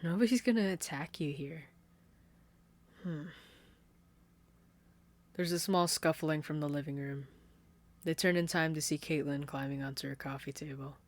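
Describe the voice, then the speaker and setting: A fan fiction reader narrating a story in a steady, soft-spoken way into a desktop condenser mic. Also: mid-range voice, normal speed, long pauses. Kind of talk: podfic narration.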